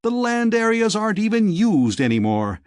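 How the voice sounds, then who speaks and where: Argumentative male prisoner performed by a male narrator, raised lively pitch, emphatic delivery, dry audiobook sound. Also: normal speed, dry recording. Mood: assertive. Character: insistent male exile recalling a floating-city Earth.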